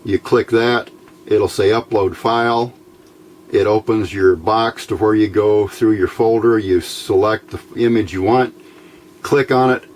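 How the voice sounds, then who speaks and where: A man giving an informal, unscripted hands-on tutorial as host, explaining step by step in a halting, plain-spoken way. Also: fairly low pitch, steady tone, a little mellow. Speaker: host of a DIY electronics tutorial channel. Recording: clearly noisy home recording.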